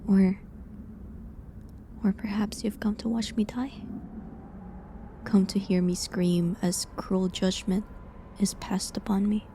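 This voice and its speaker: Woman acting a sorrowful, slow-spoken condemned herbalist. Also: medium pitch, little pitch movement, a little hiss or hum, lightly acted. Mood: resigned dread. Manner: hushed, breathy, halting delivery. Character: gentle condemned village herbalist woman, falsely accused, sorrowful. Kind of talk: dramatic audio roleplay monologue.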